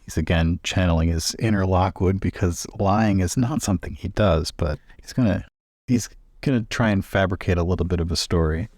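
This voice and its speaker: A man casually narrating his character's actions as a player in a tabletop role-playing game, pausing between phrases. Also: low-pitched, a little mellow, no room sound, clean studio sound.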